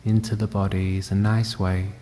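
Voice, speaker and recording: A man guides a meditation in a dark, mellow, low voice. His delivery is calm and gentle, with long pauses between phrases. The sound carries a long reverberant tail. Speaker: meditation teacher. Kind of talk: guided body scan meditation. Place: indoor room at a wellness centre. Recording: reverberant hall, faint background noise.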